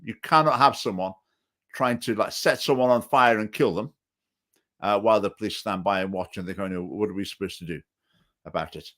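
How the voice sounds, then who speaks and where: A man's voice: on the low side, a little fast, fairly bright tone. A man hosting a talk show, speaking hesitantly with many fillers and restarts. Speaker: host. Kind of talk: political talk show chat.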